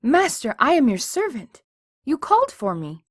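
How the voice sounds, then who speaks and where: A woman's voice: high-pitched, wildly swooping pitch, moderate pace, no echo, clean studio sound, heavily acted. A woman voicing an earnest, servile female pony spirit who speaks in a formal, pleading way in a fan audio drama.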